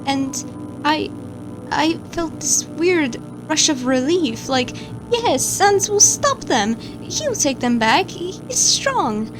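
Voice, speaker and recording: A woman's voice: high voice, low-quality recording. A woman playing an anxious, guilt-ridden watcher of camera feeds, who stammers and hesitates as she narrates a horror log over music.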